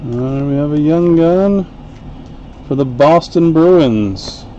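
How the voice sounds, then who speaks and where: A man hosting a live card break, calling out each card in an unhurried, chatty way. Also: on the high side, dark tone, muffled recording, a little hiss or hum. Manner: unhurried, chatty play-by-play while opening packs. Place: home card-break room, indoors.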